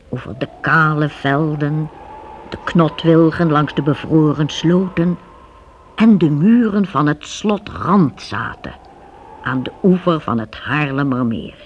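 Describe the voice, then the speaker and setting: Casting: storybook narrator, unhurried and very animated, old radio recording with slight noise. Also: mid-range voice, dull audio. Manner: expressive, suspenseful, deliberate.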